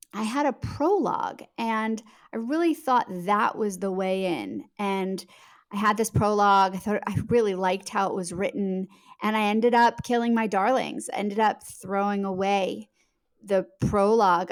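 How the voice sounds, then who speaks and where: A woman with a mid-pitched voice answers as a podcast guest. She talks like a storyteller in conversation, candid and reflective. She leans on words and repeats them for emphasis. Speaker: author and TV producer, podcast guest.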